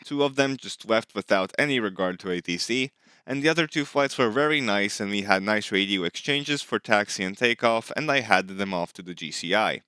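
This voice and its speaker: A man's voice: on the low side, fairly quick, bright, forceful tone, smooth, no room sound. A man giving a scripted voice-over narration, recapping his own gameplay as a flight sim hobbyist.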